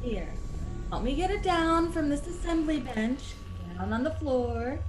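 A roomy echo surrounds this animated American machinist in an old radio play. The voice is high, keen and confident, with a chipper, informal delivery. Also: female voice, measured pace. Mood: eager, proud. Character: keen, confident machinist proud of a new invention. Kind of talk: golden age American radio drama acting. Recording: roomy radio recording, faint music behind.

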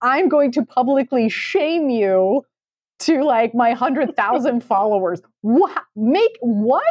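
A woman's voice: fairly high pitch, animated, slow pace, darkish tone, slightly rough, no room sound, clean studio sound. A woman co-hosting a podcast in casual chat. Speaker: podcast co-host.

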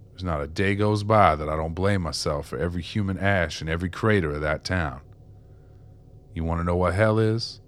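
A man's voice: low-pitched, fairly bright tone. An actor playing a remorseful, ragged old ex-con in a radio drama, world-weary and streetwise as he confesses. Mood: anguished guilt. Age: older.

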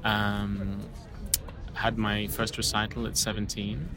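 A young man from Syria telling his life story casually in an interview, relaxed and quick to laugh, recorded on a phone. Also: fairly low pitch. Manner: relaxed, self-deprecating, laughs easily. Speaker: interview guest. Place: room with a piano. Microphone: iPhone recording. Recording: rough phone recording, loud piano behind. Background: loud piano music.

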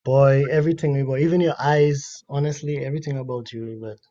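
A man from Dominica with a Dominican accent, a musician guest talking in an earnest, thoughtful, measured way. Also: medium pitch, steady tone, mellow. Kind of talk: casual interview chat. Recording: dry, dull lo-fi sound.

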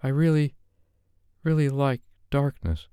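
Man voicing a shy, halting young woman, pitched up. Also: fairly even intonation, mellow, clear, resonant tone, no room sound, studio quality, close to an everyday voice. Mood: anxious, hesitant. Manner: halting, hesitant. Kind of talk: romance audiobook character dialogue.

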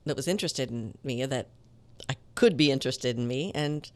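Woman voice actor w a low smooth authoritative voice, chatting in an interview, dry close sound. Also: moderate pace, dry recording. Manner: animated, tells stories with quoted dialogue. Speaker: voice actor guest. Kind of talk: conversational interview storytelling.

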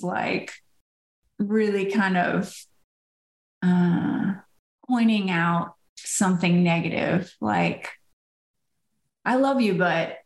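A woman's voice: medium pitch, echoey room. A woman co-hosting a podcast, talking unhurriedly and laughing easily, trailing off as she thinks aloud. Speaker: podcast co-host. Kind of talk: casual podcast chat.

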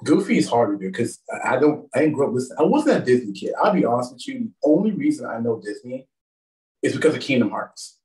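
A man's voice: medium pitch, very animated, quick pace, darkish tone, recorded from a distance, low-quality recording. A man whose first language is French, talking with a lisp as a wrestling guest in a rambling, self-deprecating casual chat.

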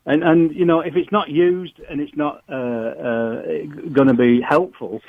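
A Yorkshire man calls in over a thin phone line, picking his words slowly and filling the gaps with hesitations. His voice sits a little high. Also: steady tone, dry recording. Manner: hesitant, measured, lots of fillers. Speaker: radio caller.

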